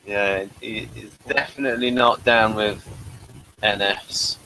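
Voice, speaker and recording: Bright, forceful man hosting a chat. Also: fairly low pitch, fairly even intonation, slow, creaky, slight background noise, low-quality recording. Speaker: podcast host. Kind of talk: casual chat review.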